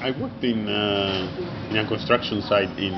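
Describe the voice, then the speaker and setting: A man's voice: fairly low pitch, moderate pace. A man from Argentina interviewing a guest in a casual, hesitant way, with many fillers. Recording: dull recording with music behind.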